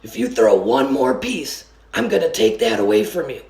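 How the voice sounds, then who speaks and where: A woman reading as a calm, practical father with a low-key, matter-of-fact manner. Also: moderate pace, vocal fry, roomy sound, exaggerated voice. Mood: calm, firm.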